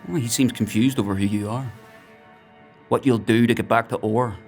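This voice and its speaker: Guy playing creepy calm villain healer, low croaky voice, measured threats, audio drama with faint music. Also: close to an everyday voice. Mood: cold, taunting. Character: menacing male paragon healer, coldly controlled interrogator.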